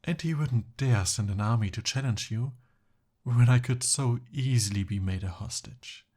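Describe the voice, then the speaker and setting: A man playing a smooth-talking, eager young captive prince in an ASMR roleplay, with formal, courtly and hesitant phrasing. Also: mid-range voice, moderate pace, darkish tone, natural voice. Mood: smooth, scheming.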